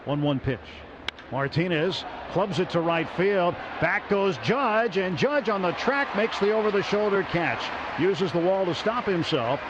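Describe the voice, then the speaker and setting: Male play-by-play caller, fairly high voice, muffled radio sound with ballpark noise behind. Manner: steady, rises sharply on big plays. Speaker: play-by-play announcer. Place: ballpark broadcast booth.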